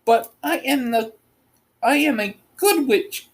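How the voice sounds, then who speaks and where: Woman playing little old good witch, high-pitched, unhurried, kindly, earnest, storybook character. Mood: kindly, modest. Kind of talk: storybook read-aloud character voice.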